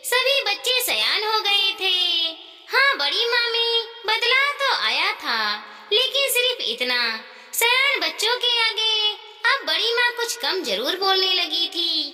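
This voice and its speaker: A woman narrating a scripted moral story in a measured, dramatic storytelling manner with drawn-out phrases. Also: very high voice, sharp tone. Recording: distant voice with long hall reverb.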